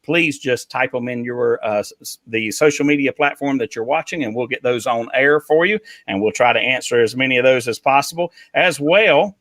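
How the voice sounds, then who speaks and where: An upbeat man hosts a live talk show, chatting casually with guests and viewers. His voice is bright and forward, recorded dry and close with no room sound around it. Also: medium pitch, normal speed, hardly any pauses, no echo. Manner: upbeat, joking, with many fillers. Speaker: host.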